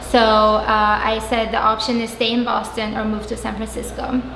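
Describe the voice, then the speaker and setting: A young woman with an Albanian accent and a fairly high voice talks through her ideas in a relaxed interview, often pausing on um and uh. The sound is roomy and echoey, with a long reverb tail. A crowd murmurs clearly in the background. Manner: thoughtful, many ums and uhs. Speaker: guest, app founder. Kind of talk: casual interview.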